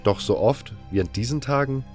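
A man's voice: mid-range voice, normal speed, faint music behind. A man reading scripted first-person fantasy narration as an audiobook narrator.

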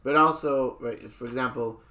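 A man's voice: medium pitch, moderate pace, bright, forceful tone. A man hosting a podcast in a casual, conversational way, explaining his points and repeating phrases for emphasis. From born in a country outside Israel. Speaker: podcast host.

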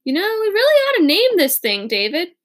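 A woman's voice: very high voice, wildly swooping pitch, fairly quick, fairly bright tone, pitched above the performer's own voice, full character voice. A woman voicing a strong, practical young man with a dry, wry way of talking in an audiobook.

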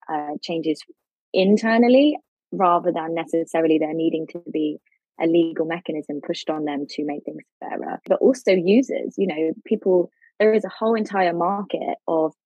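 Woman, low husky voice, slightly muffled. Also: darkish tone, dry recording. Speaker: legal expert, interview guest. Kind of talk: conversational podcast interview.

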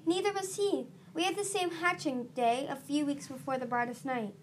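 A woman's voice: high-pitched, moderate pace, a little hiss or hum, pitched above the performer's own voice, close to an everyday voice. A woman voicing a stubborn young male dragon in a story reading, growling and dismissive. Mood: defiant. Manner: growling, flatly scornful. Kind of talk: audiobook-style character reading.

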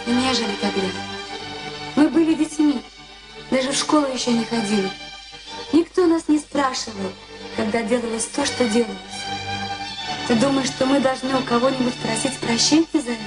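A woman's voice: a little high, close to an everyday voice, low-quality recording. An actress playing a detached, impatient young woman, speaking with pointed reproach in a Soviet radio play. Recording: archive radio play, music behind. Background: music.

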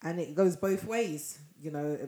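A woman co-hosting a casual podcast, her voice hoarse and froggy as she loses it, laughing often. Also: sits low, unhurried, mellow, echoey room. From Nigeria. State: losing her voice. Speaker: podcast co-host. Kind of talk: casual chat.

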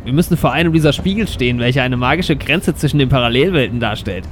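A man's voice: medium pitch, expressive, fast, slight background noise, lightly acted. A man playing a scheming villain double in a comic audio play, reading out his lines in a stilted way. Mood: stilted, pompous.

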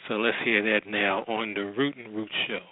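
A man's voice: lowish voice, fairly even intonation, moderate pace, heavy background noise. A man presenting a radio show in a casual style, introducing programs as host. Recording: narrow, echoey lo-fi sound.

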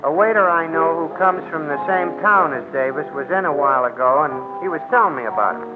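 A high-pitched, naive and chatty bartender, played by a man, rambles in ungrammatical working-class slang. He is the good-natured comic sidekick of a 1940s radio drama, heard on a tinny old recording with music behind. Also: expressive, brisk, natural voice. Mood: gossipy. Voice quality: folksy, ungrammatical working-class city speech.

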